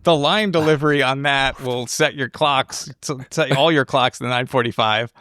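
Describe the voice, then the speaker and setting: A man co-hosting a podcast, talking through a film in a casual, halting, excitable way. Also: medium pitch, slight background noise. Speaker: podcast co-host.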